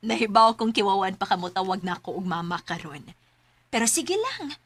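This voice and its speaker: Chatty, teasing mother, very animated. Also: medium pitch, a little slow, slightly rough, full character voice. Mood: fond, chatty. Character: chatty, fond, teasing mother of a grown son. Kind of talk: Filipino radio drama acting.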